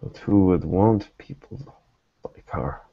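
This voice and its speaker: A man runs a tabletop roleplaying game as its game master, in a low and slow voice. He narrates and speaks for characters with deliberate pauses. The sound is slightly dull. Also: vocal fry, husky, no echo.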